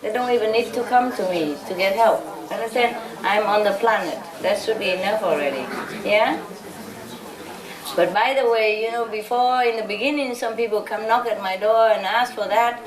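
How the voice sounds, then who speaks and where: A woman's voice: medium pitch, moderate pace, crowd noise. A woman from Vietnam, giving an informal talk to followers as a spiritual teacher, chatty and laughing often. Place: large hall with a live audience. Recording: echoey hall, distant mic, audience noise.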